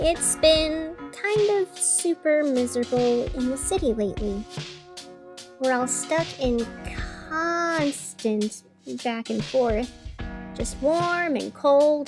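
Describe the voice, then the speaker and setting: A woman playing a chatty, weary radio host of a gloomy fictional city, narrating in a conversational, dramatic, wry way. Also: sits high. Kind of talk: scripted fiction podcast narration as a radio broadcast.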